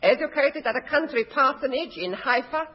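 A huge, all-knowing Oriental crime lord from a radio comedy. The voice is very high and swoops about as it delivers mincing, formal lines. It sits in a noisy old recording before an audience. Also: male voice, animated, normal speed, lo-fi sound. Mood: smug, knowing. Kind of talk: 1960s radio comedy acting.

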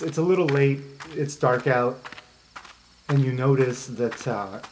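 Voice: medium pitch, darkish tone, slight background noise, low-quality recording. A game master narrating an improvised tabletop adventure in an informal, conversational way. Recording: music bed under the voice. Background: background music.

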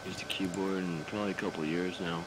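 A man's voice: sits low, fairly even intonation, fast talker. A man chatting casually with gaming teammates over voice chat, in loose, sweary talk. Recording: noisy voice chat, clear background noise.

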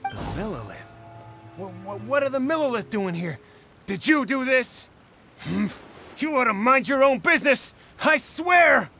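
Pushy smarmy game thug, highish voice swinging all over, wheedling and blustering. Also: male voice, sing-song, measured pace, pushed and bright, soft background music, full character voice. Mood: indignant. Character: pushy, smarmy male street hustler gang boss. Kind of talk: video game voice acting.

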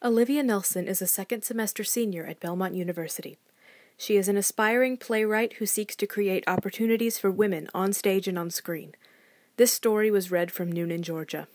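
A woman's voice: mid-range voice, a little fast, a little mellow, no room sound, studio quality. A woman delivering scripted narration as a podcast announcer.

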